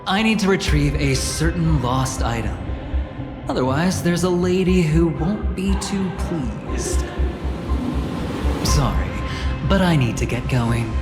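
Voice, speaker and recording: A woman's voice: low-pitched, animated, slow pace. A woman playing a sly, mischievous corporate envoy in a video game, teasing and mock-innocent. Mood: playful, nonchalant. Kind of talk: theatrical video game voice acting. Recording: echoey hall reverb, loud music.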